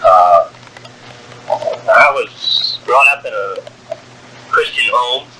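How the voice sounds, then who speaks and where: A man in his sixties from Wyoming, telling his life story on a home cassette tape, halting, with many fillers, and a strong voice. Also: mid-range voice, very noisy. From Wyoming, USA. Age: 60s. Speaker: narrator of own life story. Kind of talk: taped personal memoir. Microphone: cassette recorder.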